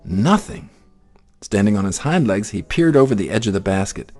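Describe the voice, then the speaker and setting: A man narrating a children's story in an expressive, theatrical way. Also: lowish voice, moderate pace, soft background music. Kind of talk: dramatic children's story reading.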